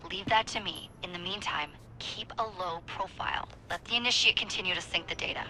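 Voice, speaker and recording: An actress playing a businesslike leader of secret modern operatives in a video game, giving brisk, matter-of-fact briefings. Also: female voice, on the low side, pushed and bright, low-quality recording. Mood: firm.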